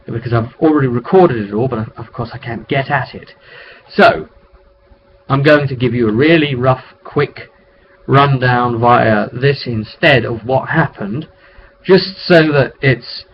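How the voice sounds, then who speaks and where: A man casually recounting a tabletop roleplay session as game master, off the cuff and conversational, in a rambling way. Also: medium pitch. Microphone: webcam microphone. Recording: dull lo-fi webcam audio with noise.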